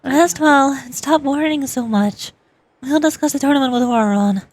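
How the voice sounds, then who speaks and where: A woman voicing a confident, easygoing game heroine who reassures and gently teases. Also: sits high, moderate pace, close to an everyday voice. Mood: reassuring.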